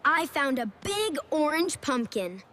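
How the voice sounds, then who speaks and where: A woman voicing an eager, cheerful young girl in a beginner English storybook cartoon, speaking slowly and deliberately in simple sentences. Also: sits high, very animated, noisy background, heavily acted. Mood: bright, eager. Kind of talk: slow scripted English lesson story dialogue.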